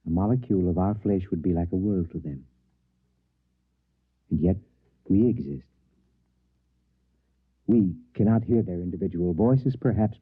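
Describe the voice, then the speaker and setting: An actor playing a humble, thoughtful professor in a 1950s radio drama, speaking gravely and formally. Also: male voice, lowish voice, no echo. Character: humble, reflective male professor and scientist. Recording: muffled old radio recording.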